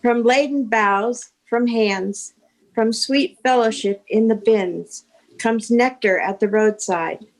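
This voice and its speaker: A woman reading a poem aloud in a measured, phrase-by-phrase way. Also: medium pitch, darkish tone, low-quality recording. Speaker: participant reading poetry aloud.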